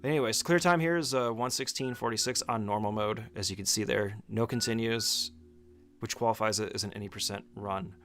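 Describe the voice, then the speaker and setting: A man's voice: lowish voice, brisk, fairly bright tone, faint music behind. A man giving an informal, casual walkthrough commentary as a speedrunner teaching a game route, swearing now and then.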